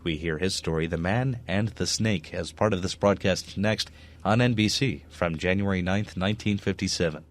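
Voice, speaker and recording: A man with a low voice presents as a radio host. He reads scripted introductions in an orderly, announcing style. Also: moderate pace.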